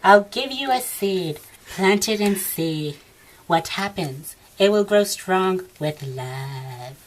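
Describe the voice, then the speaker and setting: A woman voicing solemn and scornful male storybook characters in slow, deliberate pronouncements. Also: on the low side, animated, pitched below the performer's own voice. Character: solemn wizard and scornful beetle friends.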